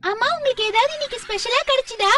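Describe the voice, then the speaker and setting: Eager schoolgirl, children's cartoon, very high childlike voice, loud music behind. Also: fast. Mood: excited. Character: young schoolgirl, sweet, eager, easily disappointed. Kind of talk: Tamil-dubbed children's cartoon acting. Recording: distant sound under loud background music.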